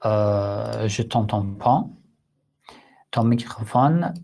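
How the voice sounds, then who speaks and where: Slow, deliberate man, hosting an online religious Q&A; patient explainer, hesitant fillers. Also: fairly low pitch, gravelly, a little mellow. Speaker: Islamic Q&A host. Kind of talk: live online Q&A answering listeners.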